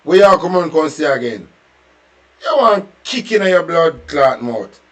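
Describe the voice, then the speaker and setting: A man's voice: a little high, very animated, a little slow. A man with a Jamaican accent delivering a furious, profane, taunting rant as reaction commentary.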